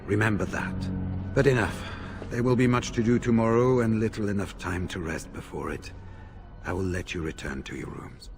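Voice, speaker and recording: Man as commanding veteran warrior, low voice, grave measured delivery, fantasy game drama, music clearly behind. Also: natural voice. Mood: grave, resolved.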